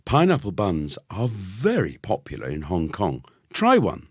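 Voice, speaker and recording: A man's voice: low voice, wildly swooping pitch, measured pace, slightly rough, dry recording, studio quality, full character voice. Man putting on a chipper, meddling uncle. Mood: eager, explaining.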